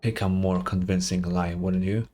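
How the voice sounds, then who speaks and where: A man's voice: deep voice, steady tone, normal speed, dark tone, a little hiss or hum, pitched below the performer's own voice, natural voice. A man voicing a stern, scornful soldier in a fantasy game, curt and accusing.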